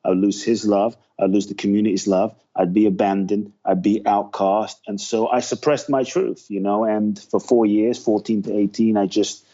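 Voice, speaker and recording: A man with a low, dark, mellow voice speaks in an earnest, heartfelt way, pausing often as he tells his story. The audio is dull and slightly lo-fi. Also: flat delivery. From Ghana, raised in London. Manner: earnest, heartfelt, many "uh" pauses. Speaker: guest. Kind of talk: reflective storytelling interview.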